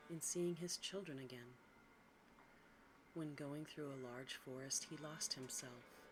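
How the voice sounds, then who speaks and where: A woman reads a bedtime story in ASMR style, in a soft, breathy, hushed and soothing whisper. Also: low voice. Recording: clearly audible background noise.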